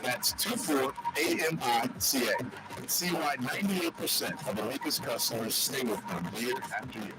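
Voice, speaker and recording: A man's voice: mid-range voice, expressive, normal speed. A man reading a scripted radio advertisement. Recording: radio ad, loud background noise.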